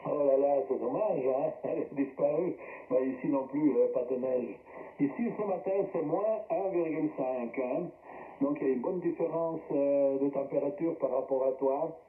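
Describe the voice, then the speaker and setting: A man's voice: mid-range voice, normal speed. A man hosting an amateur radio net, chatting casually and passing the turn between operators over a shortwave link. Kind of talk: casual radio contact chat. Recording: roomy narrowband shortwave radio transmission.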